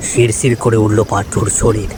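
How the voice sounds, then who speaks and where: A man narrating a scripted ghost story in a suspenseful, expressive way. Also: lowish voice, normal speed. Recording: clear rain and river water noise.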